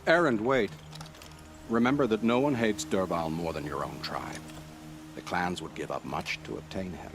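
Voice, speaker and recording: An actor playing an authoritative, calculating royal adviser with deliberate, pragmatic delivery in a game cutscene. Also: male voice, deep voice, noisy background, close to an everyday voice. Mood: cautioning. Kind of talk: dramatic game acting. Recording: music behind the dialogue.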